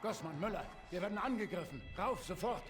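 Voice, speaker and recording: An actor playing a German enemy soldier who barks orders. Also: male voice, high voice, lively intonation, fast, big-room sound, over music. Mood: barking.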